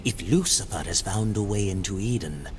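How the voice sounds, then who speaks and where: A man voicing a shrewd, calculating, scholarly demon merchant in a game cutscene, formal and deliberate. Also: low-pitched, animated, a little hiss or hum. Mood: intrigued. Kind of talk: video game cutscene acting.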